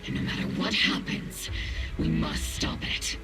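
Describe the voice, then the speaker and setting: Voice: measured pace, natural voice. An actor playing a stern, urgent military squad commander in a video game cutscene. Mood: grave resolve. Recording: game audio under loud cinematic music.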